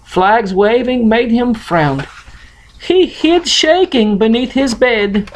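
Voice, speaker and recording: Russian-accented man reading slowly, with swooping intonation. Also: extremely high pitch, lively intonation. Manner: non-native grammar, halting, playful delivery. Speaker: host reading a picture book aloud. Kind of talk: storybook reading and casual chat to camera.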